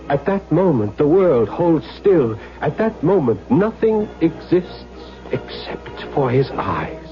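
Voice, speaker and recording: An actor playing an earnest, fervent young political zealot with an intense, rhapsodic manner in a 1970s radio drama. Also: male voice, mid-range voice. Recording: muffled old radio recording, faint music.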